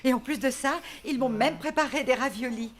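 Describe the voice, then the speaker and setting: A woman's voice: high voice, brisk, natural voice. A woman voicing a pleased, chatty mother in a comedy cartoon, gushing with satisfaction. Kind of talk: animated cartoon voice acting. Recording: music behind the voice.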